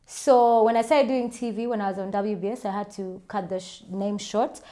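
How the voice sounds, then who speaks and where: A woman's voice: medium pitch, steady tone, normal speed, mellow. A woman with a Ugandan accent, chatting casually and self-assuredly as she answers viewer questions in a vlog. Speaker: vlogger.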